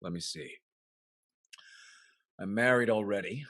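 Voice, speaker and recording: An actor playing a bitter, cuckolded husband in a Restoration comedy, scheming and sardonic, with florid, declamatory delivery. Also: male voice, fairly low pitch, measured pace, fairly bright tone, no echo, clean studio sound. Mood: wry, amused. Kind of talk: theatrical Restoration comedy acting.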